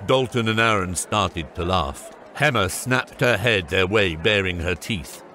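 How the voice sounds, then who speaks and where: A man's voice: low voice, animated, a little slow, sharp tone, slight background noise. A man narrating a scripted fantasy audiobook.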